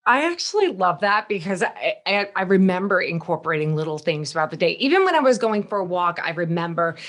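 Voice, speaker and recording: A woman's voice: on the low side, very animated, fast talker, a bit bright. A woman of fifty hosting a podcast, talking in a chatty, informal way during a casual interview. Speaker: podcast host.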